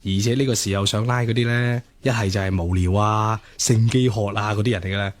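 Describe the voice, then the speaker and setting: A man's voice: fairly low pitch, steady tone, brisk, close to an everyday voice. An actor with a Hong Kong Cantonese accent playing a cocky, flippant young student womanizer in radio drama dialogue, teasing and dispensing mock wisdom. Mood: sly, teasing.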